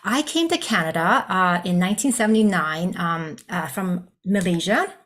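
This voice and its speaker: A woman's voice: fairly low pitch, expressive, moderate pace, fairly bright tone. A woman whose first language is Cantonese, a psychologist and counselor educator, telling her personal story in an earnest, conversational way on a video call. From Vietnam. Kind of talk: virtual presentation, personal story.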